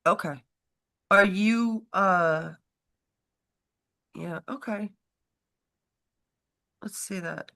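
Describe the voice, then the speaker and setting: A woman's voice: medium pitch, extremely slow, creaky, long pauses, pushed and bright. A stuffed-up woman co-hosting a casual political chat livestream, rambling and breaking off mid-sentence, often laughing. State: stuffed up from a cold. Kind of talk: casual live-stream chat.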